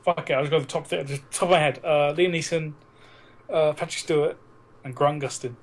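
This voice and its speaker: A man's voice: fairly high pitch, steady tone, a little fast, a little hiss or hum. A man co-hosting a casual podcast, with sweary, irreverent banter. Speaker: podcast co-host.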